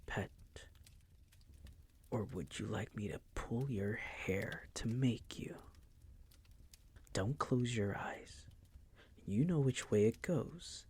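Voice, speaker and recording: A man playing a seductive, possessive vampire master in an intimate roleplay audio, teasing and coaxing in a breathy, husky voice that often drops to a whisper. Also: on the low side, darkish tone. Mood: commanding, hypnotic. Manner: slow, teasing, condescending coaxing.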